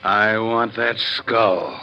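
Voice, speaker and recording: A man's voice: lowish voice, fairly even intonation, very slow, muffled recording, heavy background noise. A man playing a gleefully ghoulish horror host in 1940s radio style. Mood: ghoulish glee.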